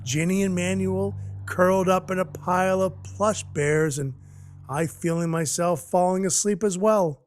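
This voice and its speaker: A man playing a gruff, soft-hearted dwarf who works as Santa's helper, narrating his own story in an audio play in a wry, hard-boiled way. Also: high-pitched, steady tone, measured pace. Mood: steady, resolved. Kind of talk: first-person audio play narration and dialogue. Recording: music under the voice. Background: music.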